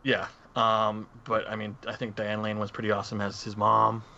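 A man's voice: fairly low pitch, monotone, moderate pace, sharp tone. A man co-hosting a podcast, casually discussing movies with others. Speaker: podcast co-host. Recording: muffled audio with clear background noise.

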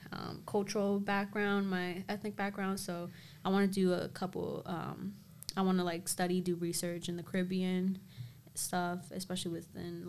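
A woman's voice: medium pitch, slight background noise. A young woman, a co-host, chatting casually on a podcast, rambling and laughing often. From Dominican American, grew up in the US.

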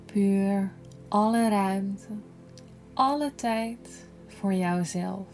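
A woman guiding a yoga nidra relaxation in a gentle, soothing, unhurried manner, breathy at times. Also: medium pitch, soft background music. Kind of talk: slow guided relaxation meditation.

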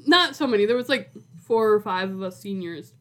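A woman's voice: medium pitch, animated, fairly quick, fairly bright tone. A woman in her twenties co-hosting a podcast in a casual chat, talking informally with lots of "like". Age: 20s. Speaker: podcast co-host.

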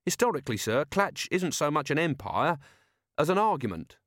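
A man's voice: fairly high pitch, very animated, normal speed, fairly bright tone, no echo, clean studio sound, pitched above the performer's own voice. A man doing an eager, polite young officer. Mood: earnest. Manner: eager, deferential, keeps saying sir. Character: earnest, polite young male watch officer.

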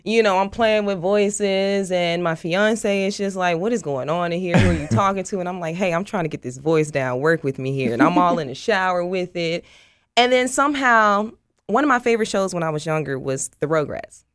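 American woman, mid-pitched, close dry sound. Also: normal speed, clean tone, dry recording. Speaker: guest, stage actress. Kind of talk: casual interview chat.

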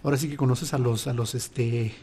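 A man's voice: medium pitch, steady tone, fairly quick, dark tone, slight background noise. A man with a Mexican Spanish accent, co-hosting a casual chat, laid-back and joking, swearing freely.

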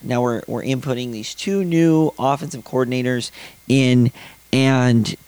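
Slightly raspy young man. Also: medium pitch, measured pace, a little mellow. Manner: rambling, many fillers and restarts. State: raspy voice after late-night concerts. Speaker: podcast host. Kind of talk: casual sports podcast talk.

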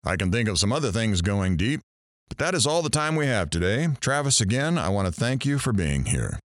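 A man's voice: on the low side, sing-song, fairly quick, no echo, studio quality. A man playing a brash, crude, wisecracking talk radio host in an audio drama, with showy, sarcastic patter. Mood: teasing. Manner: showy, sarcastic, innuendo-laden banter.

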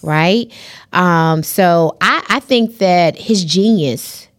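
A woman's voice: fairly low pitch, very slow. A woman hosting a podcast, introducing her guest with enthusiasm and backing her up with frequent murmurs of agreement. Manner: enthusiastic, affirming with frequent mm-hmms. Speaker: podcast host. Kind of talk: casual interview hosting.

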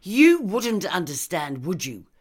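A woman's voice: very deep voice, sing-song, unhurried, heavily acted. A woman voicing a scornful, ranting middle-class female murderer in an audiobook, sneering and emphatic. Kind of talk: audiobook character dialogue.

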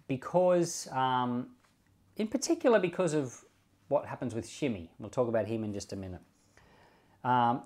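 A man reading scripture and giving informal devotional commentary in a measured, deliberate way, leaning on key words. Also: medium pitch, a little mellow. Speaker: Bible reader and pastor giving commentary.

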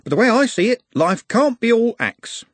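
Casting: cheery, worldly coach driver, wry patter, swinging pitch, voiced by a man. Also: very animated, moderate pace, dry recording, full character voice. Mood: cheerful. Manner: chatty and wry, with a raised, bright delivery. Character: cheerful, worldly middle-aged male night-bus driver.

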